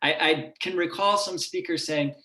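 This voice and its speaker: Professor type, man, fairly high voice, steady explanatory lecture, roomy echo. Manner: measured and explanatory, with occasional restarts. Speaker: university linguistics professor giving a public talk. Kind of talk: lecture presentation. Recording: echoey room.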